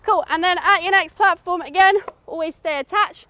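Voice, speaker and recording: A woman's voice: very high voice, normal speed, sharp tone, no room sound. A woman with a British accent giving an informal, instructive safety briefing to a group, often checking they follow. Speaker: outdoor adventure course safety instructor.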